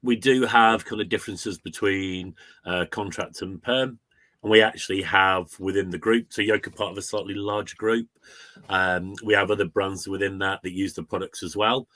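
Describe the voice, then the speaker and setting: A man with a deep voice talks as an invited business guest in a conversational, informal style. The recording is very dry, with no audible room around him. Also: steady tone, moderate pace, a bit bright, no echo. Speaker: recruitment agency client guest. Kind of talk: conversational testimonial.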